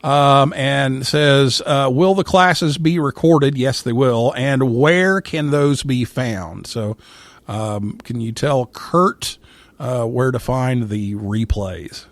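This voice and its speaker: Halting male talk show host, dry close sound. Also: medium pitch, no echo. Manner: halting, repeats words. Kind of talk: casual live interview show talk.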